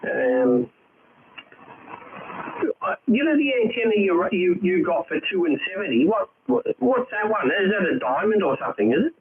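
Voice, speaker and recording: A man's voice: high voice, big pitch swings, normal speed. A man chatting casually over ham radio, musing and thinking aloud as a fellow hobbyist. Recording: tinny band-limited radio link, background chatter.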